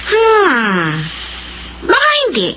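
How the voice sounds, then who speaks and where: A young woman playing a playful, teasing younger sister in a Filipino radio drama, with expressive delivery. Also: very high-pitched, very slow, full character voice. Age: young adult. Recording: dull lo-fi radio, background noise.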